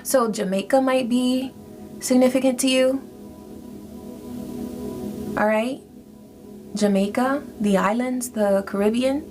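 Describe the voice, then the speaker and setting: A woman giving a spiritual tarot reading to her viewers, speaking in a soft, affirming way and drawing out her phrases. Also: on the high side, slow pace, pauses often. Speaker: tarot reader. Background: background music.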